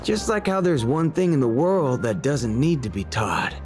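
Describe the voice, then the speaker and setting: An actor playing a folksy, cocky young cowboy gunslinger in a video game, with a Southern US drawl. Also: male voice, on the high side, sing-song, moderate pace, a little mellow. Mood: cocky, defiant. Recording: game music under the voice.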